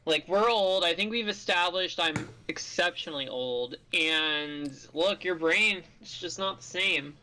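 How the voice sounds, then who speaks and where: A woman's voice: fairly low pitch, a little slow, few pauses, sharp tone, a little hiss or hum, low-quality recording. A woman co-hosting a game stream, chatting casually with a dry, teasing manner. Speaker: co-host. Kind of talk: casual chat.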